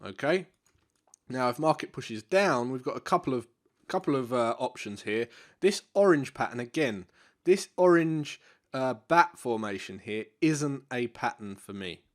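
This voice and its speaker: A man talks through trading charts in a loose, unscripted way, often stopping to repeat himself. His voice sounds congested and bunged up, as if he has a cold. The pitch sits in a middle range for a man. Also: unhurried. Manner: relaxed, repeats himself, many fillers. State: congested, stuffy nose. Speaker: forex trading educator. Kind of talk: live market analysis walkthrough.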